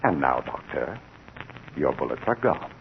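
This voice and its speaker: A man acting as a cold, megalomaniac humanlike robot in a 1940s radio drama, speaking in a measured, formal, menacing way. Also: a bass voice, big pitch swings, creaky, full character voice. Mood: coldly menacing. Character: cold, megalomaniac male humanlike robot bent on world mastery. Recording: tinny, noisy 1940s radio recording.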